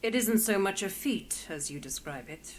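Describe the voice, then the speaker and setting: A woman's voice: medium pitch, lively intonation, moderate pace, slight background noise, natural voice. An actress playing a principled, dignified marshal in a video game, formal and precise in her wording. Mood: dignified, firm. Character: principled, dignified female marshal, firm and proper.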